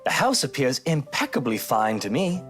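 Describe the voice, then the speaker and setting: A woman's voice: extremely low pitch, very animated, moderate pace, over music, pitched below the performer's own voice. A woman voicing a smug, impatient man who mocks and condescends, in a visual novel. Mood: smug, probing.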